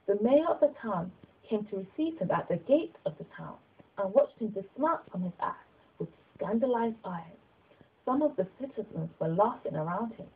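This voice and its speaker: A woman reading literary narration aloud as an audiobook reader, with a slightly husky voice. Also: medium pitch, animated, normal speed, narrow, tinny audio.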